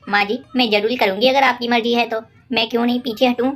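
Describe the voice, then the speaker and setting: A woman's voice: a little high, fairly even intonation, quick pace, soft background music. A woman voicing polite, chatty young women of a neighbourhood in a cartoon moral story, explaining things politely. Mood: polite, assured.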